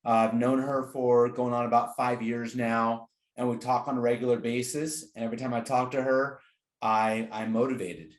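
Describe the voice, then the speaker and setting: A man's voice: medium pitch, steady tone, normal speed. A man hosting an interview podcast, speaking conversationally in an earnest, encouraging manner. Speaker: podcast host. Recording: roomy, echoing sound.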